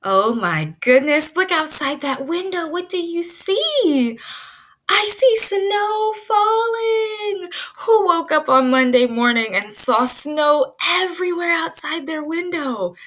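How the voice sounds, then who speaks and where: A woman teaching pre-kindergarten children in an animated, child-directed way, asking questions as she leads a read-aloud. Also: very high voice, slow.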